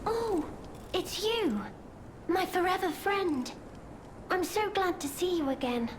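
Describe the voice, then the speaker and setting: A woman playing a gentle, childlike female automaton in a video game, innocent and wistful, speaking with earnest, tender simplicity. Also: extremely high pitch, lively intonation, slow, background noise, full character voice. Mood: wistful, glad.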